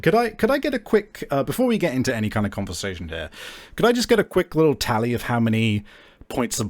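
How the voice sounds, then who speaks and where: A man hosting and narrating a tabletop game as game master, chatty and casual, often hesitating and rephrasing. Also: lowish voice. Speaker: game master and show host.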